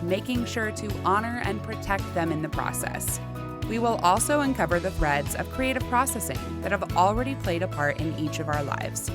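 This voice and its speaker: A woman hosting a podcast, reading a scripted intro and then chatting, with an earnest delivery and slow emphasis on key words. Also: fairly low pitch. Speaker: podcast host.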